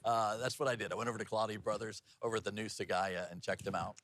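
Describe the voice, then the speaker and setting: A man's voice: lowish voice, steady tone, fast talker, fairly bright tone, slight background noise. A man anchoring a TV newscast in a jokey, folksy, conversational way, moving between banter and scripted reads. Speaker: TV news anchor and feature reporter.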